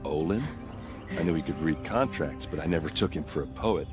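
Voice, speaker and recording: Rugged male fighter, low voice, wry and earnest, for a cinematic game. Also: brisk, music in the background. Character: gruff, wry warrior man, grieving and loyal. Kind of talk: video game voice acting.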